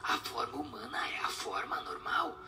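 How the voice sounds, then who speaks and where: A man voicing a solemn spirit who answers questions in a breathy, whispery, didactic way. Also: sharp tone, soft background music. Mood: solemn, instructive. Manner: didactic, measured explanations.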